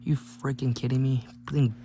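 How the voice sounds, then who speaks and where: Male teardown host, mid-pitched and flat, casual rambling commentary with offhand jokes, clearly noisy humming recording. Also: moderate pace. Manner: rambling, jokey offhand remarks. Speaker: host of a gadget teardown video.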